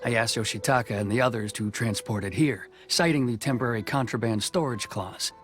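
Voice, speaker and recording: An actor voicing a stern young male official in a video game, speaking in a formal, measured, reserved way. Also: mid-range voice, fairly bright tone, lightly acted. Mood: reflective. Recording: game soundtrack music faint behind.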